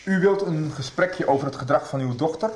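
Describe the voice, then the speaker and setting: An actor playing a stern, pompous deputy headmaster in a radio soap, formal and condescending. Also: male voice, a little high, fairly quick, close to an everyday voice. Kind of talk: 1990s radio soap acting. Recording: echoey office, sometimes off mic.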